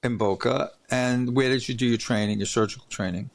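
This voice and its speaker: A man's voice: mid-range voice, fairly even intonation, a little fast, creaky. A man hosting an interview podcast, telling rambling stories in a chatty way with many restarts. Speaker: podcast host. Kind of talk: casual interview chat.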